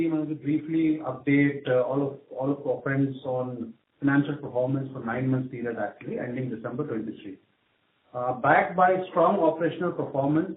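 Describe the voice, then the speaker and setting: A man briefing investors as a finance executive on an earnings call, hesitating and repeating words as he goes. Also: medium pitch. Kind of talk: semi-scripted earnings call presentation. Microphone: conference call phone line. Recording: echoey, tinny phone line.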